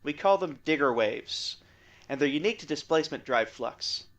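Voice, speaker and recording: A man playing an excitable scientist in an audio drama, explaining technical things in a wry way. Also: on the high side, moderate pace. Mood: explaining, keen. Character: excitable male scientist explaining space physics.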